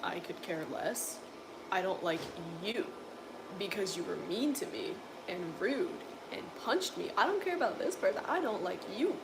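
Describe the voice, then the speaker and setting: A young woman from coastal North Carolina with a deep voice, chatting casually on a livestream and answering viewers' comments with lots of filler words. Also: animated, moderate pace, background noise. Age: young adult. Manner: rambling, filler-heavy, exasperated. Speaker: livestream host.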